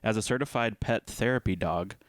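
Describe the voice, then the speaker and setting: A man reading a passage aloud in a flat way during a podcast. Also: fairly low pitch, a little fast, dry recording, clean studio sound.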